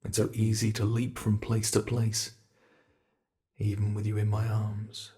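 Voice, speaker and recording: A British man voicing an ancient, cruel male monster that hunts humans, slow and relishing, in an ASMR horror roleplay. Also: lowish voice, monotone, dark tone, natural voice. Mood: smug. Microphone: close mic.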